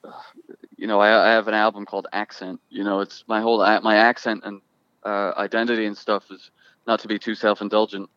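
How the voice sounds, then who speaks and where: A young man from Ireland with an accent that drifts between Irish, Scottish and American, talking casually and haltingly with many restarts. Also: on the low side, a bit bright, clean tone, no room sound, highs cut off. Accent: mixed Irish and Scottish with American. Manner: halting, restarts often, thoughtful. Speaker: guest musician. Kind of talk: casual conversation.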